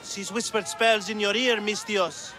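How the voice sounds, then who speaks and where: A man's voice: high voice, steady tone, unhurried, background noise. A man playing a hostile, superstitious accuser with a declamatory, menacing manner in a video game cutscene. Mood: ominous. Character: hostile, superstitious male accuser rallying a mob.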